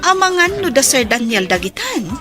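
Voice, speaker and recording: A woman's voice: high voice, big pitch swings, normal speed, music in the background. A woman playing a nosy gossiping young woman. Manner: gossipy and curious.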